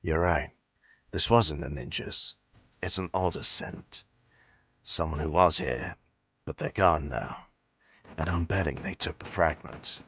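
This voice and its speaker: An actor playing a gruff, blunt veteran mutant tracker in a comic dub, speaking in terse, clipped sentences. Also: male voice, rumbling low, unhurried, long pauses, a bit bright, no room sound, full character voice. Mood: grim, certain. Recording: background music under the voice.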